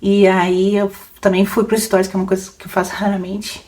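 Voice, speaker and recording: A woman's voice: mid-range voice, little pitch movement, brisk, darkish tone. A young adult woman, a book podcaster rambling casually through questions, laughing often and full of fillers. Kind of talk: casual Q&A chat.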